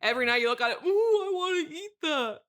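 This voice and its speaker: A woman playing a haughty, eccentric horror writer in a comedy interview, deadpan, condescending and mocking. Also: high voice, fast. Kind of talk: improvised mock interview comedy.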